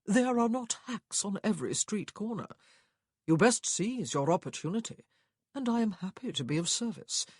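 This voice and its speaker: A man's voice: high voice, animated, moderate pace, breathy edge, dry recording, studio quality, pitched above the performer's own voice, heavily acted. Man putting on a jovial, drawling English lord. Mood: jovial, persuasive. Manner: drawling, cheerful, self-assured. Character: jovial, smug English lord, amused and suave. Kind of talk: audiobook character dialogue.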